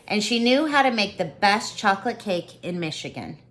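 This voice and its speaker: A woman teaching on a video call, reading aloud and chatting with children in an expressive, encouraging way. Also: mid-range voice, normal speed. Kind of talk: online storybook read-aloud with casual chat.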